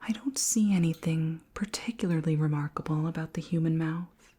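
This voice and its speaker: A woman playing a curious, flirty alien queen in an ASMR roleplay, speaking in a soft, breathy whisper with formal, gently clinical politeness. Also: sits low. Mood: fascinated. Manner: slow, gentle, formal questioning.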